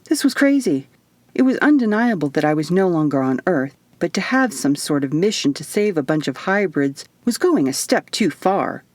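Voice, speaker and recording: Woman narrating with a lively, dry-recorded voice. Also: lowish voice, moderate pace, no room sound. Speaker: author narrating own audiobook. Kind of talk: expressive first-person audiobook narration.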